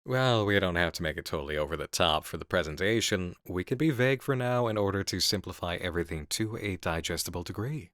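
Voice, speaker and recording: An intense, seductive horror game developer, played by a man, speaks in a deep voice with close, dry sound. He is assured and deliberate, musing philosophically as if confiding in the listener in an intimate audio roleplay. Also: animated, fairly bright tone, no room sound. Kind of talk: ASMR roleplay voice acting.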